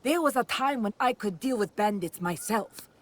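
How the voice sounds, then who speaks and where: A woman's voice: medium pitch, animated, normal speed, close to an everyday voice. A woman playing a poor, weary old village woman in a game, plaintive but dignified. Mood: weary, pleading. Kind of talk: dramatic game cutscene acting.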